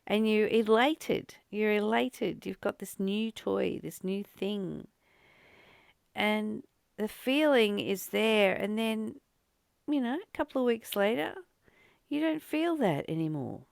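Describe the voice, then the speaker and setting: A woman giving a contemplative spiritual reading with informal personal commentary, pausing and repeating phrases as she goes. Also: medium pitch, expressive, gravelly, smooth, no room sound, studio quality. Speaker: host of a spiritual reading and study series.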